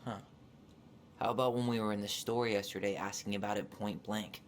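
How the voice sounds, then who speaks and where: A man's voice: fairly low pitch, steady tone, brisk, a little hiss or hum, close to an everyday voice. An actor playing an earnest, probing young man, direct and reserved in naturalistic video game dialogue.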